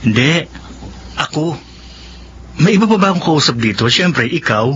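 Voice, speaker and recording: A forceful, bright-voiced Filipino doctor, stern and brusque. He lectures with sarcasm in slightly dull drama audio with faint noise behind. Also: a little high, lively intonation, normal speed. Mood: scolding, irritated. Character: stern, scolding male doctor. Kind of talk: Filipino radio drama acting.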